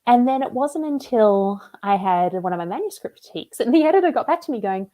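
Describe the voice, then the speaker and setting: A woman with an Australian accent, a debut novelist talking about her book in a relaxed, chatty live interview over a video call. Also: a little high, quick pace. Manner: chatty and relaxed, with frequent "like" and "um" fillers.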